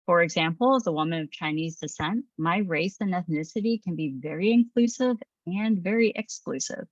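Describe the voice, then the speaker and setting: A woman in her thirties narrates training slides in a calm, measured tone, her voice sitting on the lower side. The recording sounds a bit dull and muffled, close and dry with no room around it. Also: no echo. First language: English. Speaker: presenter.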